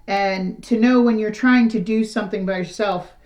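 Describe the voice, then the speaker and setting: A middle-aged woman with a raspy, slightly hoarse voice gives a casual tarot reading to the camera. She talks in a frank, rambling, conversational way. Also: medium pitch, fairly even intonation, normal speed, a little mellow. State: slightly hoarse after illness. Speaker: tarot reader.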